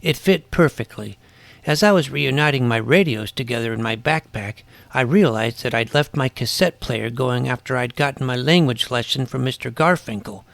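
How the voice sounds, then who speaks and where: An actor playing an earnest schoolboy detective who narrates his own mystery story in a deadpan, self-serious way. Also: mid-range voice, normal speed, vocal fry, no echo. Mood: wry, reflective. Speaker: narrator and lead character. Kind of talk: scripted first-person mystery narration.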